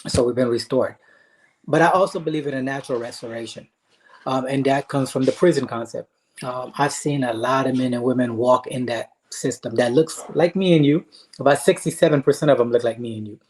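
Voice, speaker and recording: An earnest man from Haiti talks with the steady conviction of a speaker of faith, pausing often on soft "um"s as he gathers his thoughts. His voice sits at an ordinary middle pitch. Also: rough recording. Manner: earnest, faith-driven, with frequent "um" pauses. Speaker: guest, motivational speaker and life coach. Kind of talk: interview answers, earnest storytelling.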